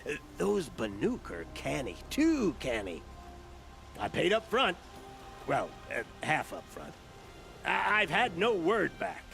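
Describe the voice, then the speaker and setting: A blustering, sly video game opportunist who takes his time with every pitch. His voice creaks and swings up and down as he flatters and stumbles, with faint music behind him. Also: takes it slowly, full character voice. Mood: flustered, wheedling. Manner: hesitant, stammering, throat-clearing, sly salesman patter. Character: shifty, blustering male opportunist merchant-gambler, bargaining and flattering. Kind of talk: video game dialogue acting.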